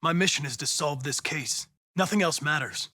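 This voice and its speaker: A man's voice: a little high, sharp tone, dry recording, clean studio sound. A man voicing a young android police investigator in a video game, with an American accent and a precise, formal, mission-driven manner. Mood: detached, resolute. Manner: precise, clipped, formal, measured, insistent when pressed. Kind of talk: video game voice acting, isolated dramatic lines.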